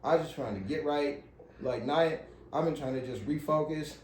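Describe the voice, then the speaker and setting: A man's voice: on the high side, normal speed, darkish tone, echoey room, slight background noise. A man in his thirties chatting casually as a podcast co-host, in an earnest, slangy, repetitive way. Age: 30s. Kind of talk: casual chat.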